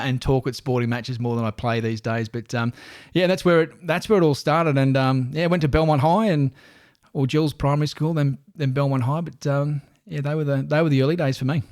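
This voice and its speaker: A man's voice: mid-range voice, a little mellow. Chatty Australian man in his forties. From Newcastle, Australia. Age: 40s. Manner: friendly, rambling with many restarts. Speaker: guest, stadium announcer. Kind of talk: casual podcast interview.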